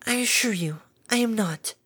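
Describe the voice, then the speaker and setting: A woman voicing a timid, gentle female pegasus pony who speaks softly and soothingly. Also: fairly high pitch, moderate pace, slightly rough, voice pushed up. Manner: soft, soothing, gently pleading.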